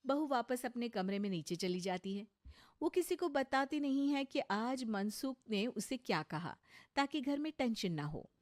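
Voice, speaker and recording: A woman narrates a comic moral tale as a scripted storyteller. Her voice sits fairly high and sounds close and dry, with no room around it. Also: animated, unhurried, no room sound.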